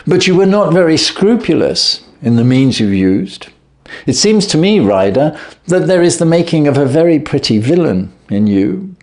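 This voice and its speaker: A man reading aloud and voicing a stern, clever detective who calmly accuses a thief. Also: fairly high pitch, voice pushed up. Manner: stern, cutting, measured. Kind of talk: reading a classic story aloud.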